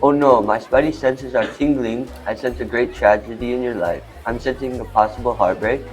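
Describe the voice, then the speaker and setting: Radio play role: cheerful, theatrical food truck owner played by a man, earnest and boastful, mid pitch, faint music underneath. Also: normal speed, close to an everyday voice, rough recording. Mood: cheerful, dramatic. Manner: earnest, theatrical, formal wording. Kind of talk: amateur radio play acting.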